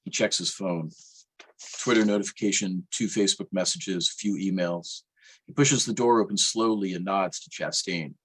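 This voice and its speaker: A man with a lowish, rather raspy voice reads literary fiction aloud. He sounds like an author at a reading, narrating his own prose with a wry edge. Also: normal speed, rough recording.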